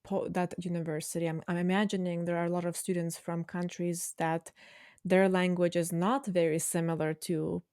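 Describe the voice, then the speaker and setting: A woman's voice: fairly low pitch, level tone, moderate pace, a little mellow, clear, resonant tone, dry recording, studio quality. A woman hosting a podcast, asking her former student curious, prompting questions. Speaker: podcast host and former teacher. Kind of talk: interviewing a guest.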